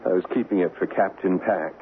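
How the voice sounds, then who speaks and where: An earnest, gallant army major played by a man in a vintage radio drama. His speech is formal and smitten, in a lowish register. The recording is thin and band-limited, with audible hiss. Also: a little fast, narrow, tinny audio, lightly acted. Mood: agitated, regretful. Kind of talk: old-time radio drama acting.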